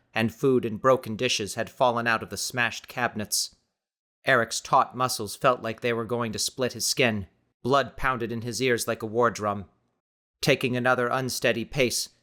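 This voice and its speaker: Male audiobook narrator, dramatic scripted read, mid pitch, tense bright tone.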